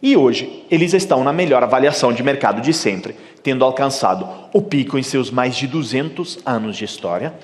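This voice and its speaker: A middle-aged man with an Italian accent, giving a conference keynote with animated storytelling, recorded with a stage microphone in a large hall. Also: on the high side. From Italy. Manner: animated storytelling, acting out quoted dialogue. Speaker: keynote speaker. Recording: long hall reverb.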